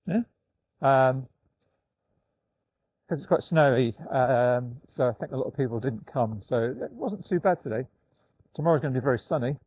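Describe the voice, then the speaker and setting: An older man chatting casually and unhurriedly, with halting pauses, as he records a walking vlog outdoors. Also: medium pitch, husky, no echo, lo-fi sound. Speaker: vlogger. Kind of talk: casual rambling vlog talk while walking. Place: outdoors, walking in snowy woods.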